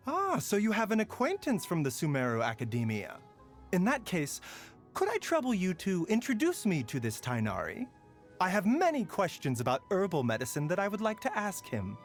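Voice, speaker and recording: A calm, courteous pharmacist speaks softly and with measured care. His fairly high voice rises and falls with lively, wry turns, over faint game music. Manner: soft-spoken, measured, politely wry. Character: gentle male pharmacist doctor, calm and courteous. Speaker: game character voice lines. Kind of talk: scripted game voice lines.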